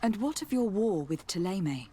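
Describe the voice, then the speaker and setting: A woman's voice: medium pitch, very animated, normal speed, dark tone, slight background noise, lightly acted. An actress playing a polite, inquisitive noblewoman diplomat in a video game, composed and formal. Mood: polite, inquiring. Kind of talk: formal scripted game dialogue.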